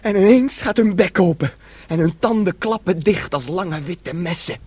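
Highish, childlike, dreamy young man. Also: animated, moderate pace, warm tone, husky. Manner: naive, insistent, musing. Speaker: actor in a radio play. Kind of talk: Dutch radio drama acting.

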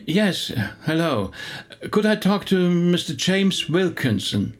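An actor in a radio drama playing a reserved, courteous middle-aged Swiss rabbi. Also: male voice, high voice, very animated, takes it slowly, full character voice.

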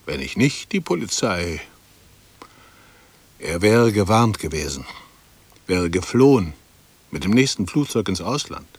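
An actor playing an embittered, dutiful bank employee in a radio play, brooding in clipped, self-justifying fragments. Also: male voice, low voice, sing-song, measured pace, long pauses, husky, dry recording, full character voice. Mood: aggrieved. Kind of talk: interior monologue in a 1960s German radio play.

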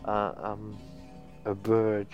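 Very slow-speaking man over music. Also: lowish voice, completely flat delivery, lo-fi sound. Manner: fragmented, drawn-out phrases. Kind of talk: poetic spoken-word performance.

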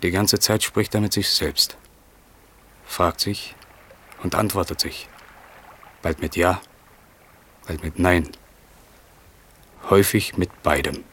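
A sober chronicler in a radio drama. His voice is deep, and he reports with detachment. The phrases come measured and clipped, like careful observations. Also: a bit bright, slight background noise. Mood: matter-of-fact. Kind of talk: German radio play narration.

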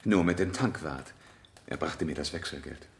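Deep-voiced, guarded witness. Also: male voice, normal speed, gravelly. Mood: weary, subdued. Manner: terse, guarded, subdued answers. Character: defensive married father under questioning, weary and guarded. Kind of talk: 1970 German radio play acting.

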